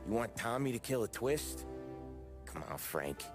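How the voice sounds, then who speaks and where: An actor playing a gruff but soft-hearted American gangster in a dramatic video game scene. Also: male voice, medium pitch, very animated, unhurried, loud music behind the voice. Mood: earnest, pleading.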